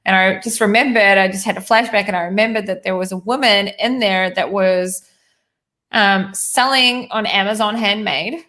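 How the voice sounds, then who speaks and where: A woman's voice: medium pitch, fairly even intonation, moderate pace, bright, forceful tone. A woman from New Zealand hosting a conversational interview, thinking aloud as she works through winding questions. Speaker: host.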